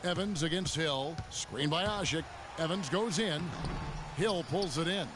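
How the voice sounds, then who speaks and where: A man playing a brisk play-by-play sportscaster calls each move in a basketball video game. His voice sits high, and loud music plays underneath. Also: lightly acted. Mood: calling action. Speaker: play-by-play announcer.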